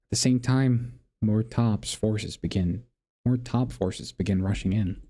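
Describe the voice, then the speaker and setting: A man's voice: lowish voice, measured pace, warm tone. A man giving casual game playthrough commentary as a streamer, sometimes reading the story text aloud. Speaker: gaming streamer. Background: faint game music.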